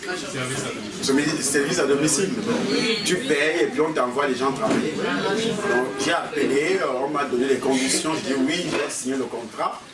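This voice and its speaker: A man's voice: mid-range voice, moderate pace, a loud crowd. A man with a Cameroonian French accent preaching with personal stories, repeating his phrases and prompting the congregation. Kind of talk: animated sermon with personal anecdotes. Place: church meeting hall with a congregation. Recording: echoey hall, distant mic, congregation noise.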